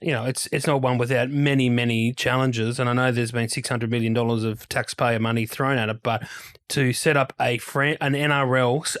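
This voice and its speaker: An Australian man co-hosting a sports podcast in casual discussion, speaking haltingly but making his points with emphasis. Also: medium pitch, fairly bright tone. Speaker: podcast co-host, sports journalist.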